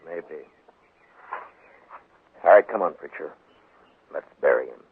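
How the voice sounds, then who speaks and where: An actor playing a stern, weary, principled American marshal, terse and understated, in a 1950s radio western. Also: male voice, a bass voice, animated, takes it slowly, breathy edge, full character voice. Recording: muffled old radio broadcast.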